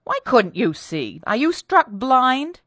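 Storybook matron, acted by a female reader: bossy, indignant, higher and louder than narration, very lively intonation. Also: takes it slowly, dry recording, exaggerated voice. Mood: indignant, demanding. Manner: sharp, bossy, demanding. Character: indignant, peremptory mistress of a household, middle-class country wife.

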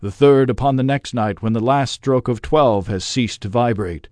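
A man voicing a mournful male ghost in chains who speaks gravely and declaims his warnings. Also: medium pitch, moderate pace, a little mellow, no room sound, studio quality, natural voice. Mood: solemn.

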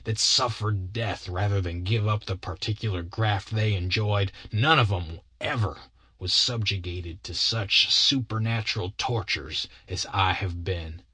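A man's voice: fairly low pitch, unhurried, bright, forceful tone, slightly rough, no echo, full character voice. A man voicing a harried, aggrieved rustic crook with pompous yet folksy speech in an audiobook. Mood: weary, aggrieved. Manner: aggrieved, mock-grandiose wording, folksy grammar. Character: harried, put-upon grown man, small-time rustic crook. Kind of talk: audiobook character voice.

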